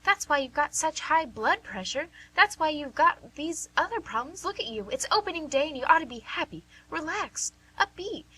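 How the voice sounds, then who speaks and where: A woman's voice: high-pitched, expressive, normal speed, hardly any pauses, pushed and bright, rough recording. A woman playing a plain-spoken, caring but pushy nurse in a radio play, persuasive and folksy. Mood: insistent, exasperated. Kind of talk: amateur radio theatre acting.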